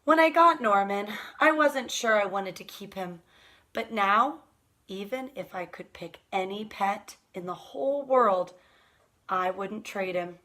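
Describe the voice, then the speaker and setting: A woman reading a children's picture book aloud at storytime, expressive and drawn out, putting questions to her young listeners. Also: mid-range voice, unhurried.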